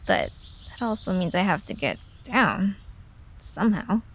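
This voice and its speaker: A woman playing an earnest, chatty, easily startled young girl in an audio drama, expressive and youthful. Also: medium pitch, a little slow, slight background noise. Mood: nervous, chatty. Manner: expressive, youthful, talking into a recorder. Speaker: voice actor. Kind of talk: audio drama character acting.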